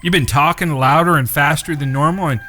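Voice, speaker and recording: A man's voice: on the high side, brisk, sharp tone, slight background noise. A man playing an inquisitive, plain-spoken American cowboy with a dry, folksy manner in a Western audio drama. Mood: wry, curious.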